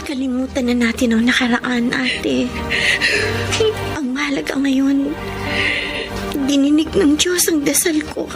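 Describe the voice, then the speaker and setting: A young woman with a high, heartfelt voice. She speaks respectfully and emotionally in Filipino radio-drama style, with dramatic music swelling behind her. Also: steady tone, unhurried, long pauses. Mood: earnest, forgiving. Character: young, earnest Filipino woman, forgiving friend or anxious daughter.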